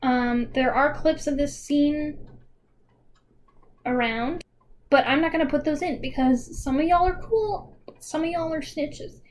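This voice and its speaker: A woman's voice: high voice, a little slow, a little hiss or hum. A young woman giving a casual video essay commentary in a chatty, informal way with dry, joking asides. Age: young adult.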